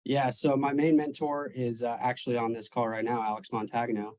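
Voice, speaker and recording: A man in his twenties, a real estate agent, answering interview questions over a video call, relaxed, with frequent ums and restarts. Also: medium pitch, fairly quick, dry recording. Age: 20s.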